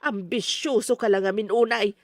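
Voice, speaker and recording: A woman's voice: mid-range voice, normal speed, dry recording, studio quality, natural voice. An actress with a Filipino accent playing a worried older mother in a radio drama, lamenting and reproachful. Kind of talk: melodramatic radio drama acting.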